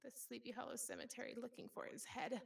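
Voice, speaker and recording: A woman chatting casually on a podcast, often pausing to think aloud as she explains. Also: fairly high pitch, fairly even intonation, vocal fry, far from the mic. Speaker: podcast co-host. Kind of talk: casual podcast discussion of folktales. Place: remote online group call.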